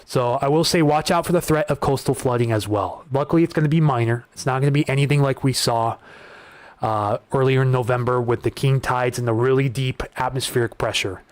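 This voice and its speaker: A man hosting a live weather stream, talking through wind data and viewer questions in a casual, conversational way, often restarting his sentences, his voice starting to go hoarse. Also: medium pitch, brisk, no room sound. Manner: conversational, repeats words and restarts while reading data. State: voice getting hoarse. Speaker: weather livestream host.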